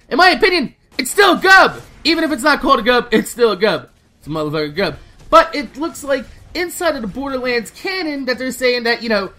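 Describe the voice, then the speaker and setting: Female gaming host: bright, forceful, fairly high voice, casual and animated, loose informal delivery with swearing. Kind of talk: casual excited game news commentary.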